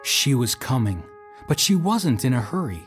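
A man narrating an audio drama with a measured, dramatic delivery. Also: mid-range voice, big pitch swings, faint music behind, lightly acted.

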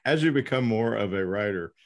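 A middle-aged man hosting a casual interview as an editor, talking in a rambling, halting way. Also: on the low side, lively intonation. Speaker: podcast host, book editor.

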